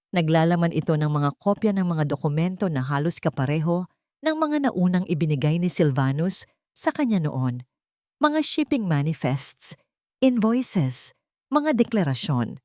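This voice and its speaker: A woman's voice: low-pitched, a little mellow, dry recording, studio quality. A woman narrating a scripted dramatic story.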